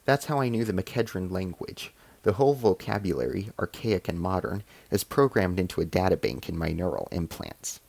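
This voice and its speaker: A man's voice: sits low, expressive, fairly quick, gravelly, darkish tone, no echo, exaggerated voice. A man voicing a haughty alien female ambassador in a story, explaining and commanding with cold menace. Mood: cool boasting.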